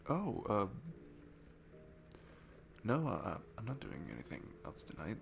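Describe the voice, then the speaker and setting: A man's voice: on the low side, wildly swooping pitch, takes it slowly, creaky, a little hiss or hum, exaggerated voice. A man playing a shy, sweet, nervous young guy at a bar in an intimate ASMR roleplay, stammering and hesitant. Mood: bashful, pleased. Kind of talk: ASMR roleplay audio, intimate one-sided conversation. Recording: music behind the voice. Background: music.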